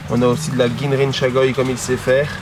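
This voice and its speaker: Male co-presenter, medium pitch, casual showcase commentary, ambient vehicle noise behind. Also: monotone, fairly quick. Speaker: co-presenter and koi buyer. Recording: clear background noise, car sounds.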